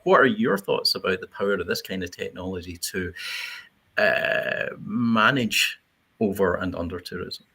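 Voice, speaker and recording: A man hosts a live panel discussion. His voice sits on the low side and has a husky grain. He speaks off the cuff, feeling his way through long, discursive questions. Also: animated, moderate pace, pushed and bright. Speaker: host of a panel livestream. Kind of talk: unscripted panel moderating.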